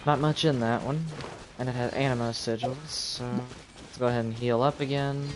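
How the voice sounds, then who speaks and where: A man doing casual live game commentary, thinking aloud in a low-key way. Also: fairly even intonation, moderate pace, rough recording. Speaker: game streamer. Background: video game music and effects.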